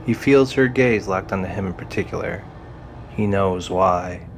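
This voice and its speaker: A man's voice: low voice, a little slow, a little hiss or hum. A man reading scripted dramatic narration as the narrator of an audio drama. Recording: music behind the voice.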